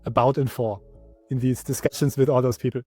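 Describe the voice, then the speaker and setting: A man's voice: medium pitch, fairly even intonation, fairly quick, loud music behind the voice. A man hosting a podcast interview, asking questions in a casual way and often adding "right?". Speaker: podcast host. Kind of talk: conversational interview.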